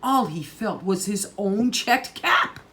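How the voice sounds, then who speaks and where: A woman reading a children's story aloud in a deliberate, emphatic, dramatic way. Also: mid-range voice, lively intonation, fairly bright tone, a little hiss or hum. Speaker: storytime reader.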